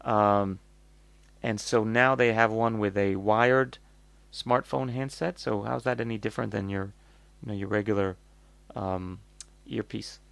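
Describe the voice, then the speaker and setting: A low-voiced man with a North American accent and a good deal of vocal fry talks through a product review off the cuff. He rambles and thinks aloud, bluntly, in a very dry-sounding recording. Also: steady tone, a little slow, fairly bright tone, dry recording. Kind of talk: casual off-the-cuff review commentary.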